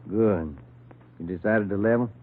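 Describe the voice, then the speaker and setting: A man's voice: fairly low pitch, a little slow. An actor playing a plainspoken, grumbling family-man police detective with a dry, folksy manner in an old radio drama. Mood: dry, wary. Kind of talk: 1950s radio drama acting. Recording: muffled old-time radio recording.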